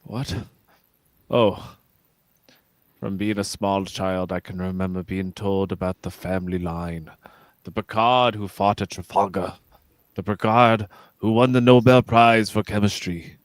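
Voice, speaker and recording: A man playing a dignified, ageing, reserved starship captain with measured, formal delivery in a sci-fi radio theatre read. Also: on the low side, fairly even intonation, dry recording, lightly acted. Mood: melancholy.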